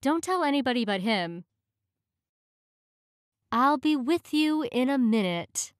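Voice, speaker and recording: A woman reading short English practice phrases in a clear, measured way, each one said twice. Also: high voice, animated, no echo, clean studio sound.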